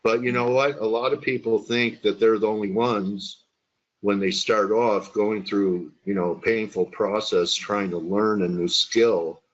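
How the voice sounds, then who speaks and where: A man hosts a trading webinar interview, rambling warmly into his questions with plenty of uhs and you-knows. His voice is fairly low. The audio is dull and muffled, like an online call. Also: fairly even intonation, measured pace.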